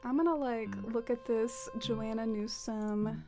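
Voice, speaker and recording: A chatty young woman with a Southern accent rambles and giggles at herself as she streams a game. Her voice sits a little high, and she fills her talk with lots of "like." Soft game music plays faintly behind her. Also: steady tone, a little slow. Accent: Southern American. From American South. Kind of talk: casual live-stream chat while gaming.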